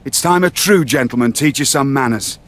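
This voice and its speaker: A man's voice: medium pitch, normal speed, fairly bright tone, natural voice. A man with a British accent playing a haughty, snobbish wrestler villain in a video game, speaking formally and pompously. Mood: stern challenge. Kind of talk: scripted wrestling game cutscene acting.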